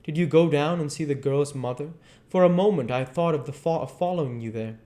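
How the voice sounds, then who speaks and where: A man voicing a grave, heartbroken gentleman, formal and pleading, from a classic novel. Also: on the high side, a little fast, voice pushed up, close to an everyday voice. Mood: distressed, earnest. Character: grave, heartbroken gentleman friend, earnest and reproachful.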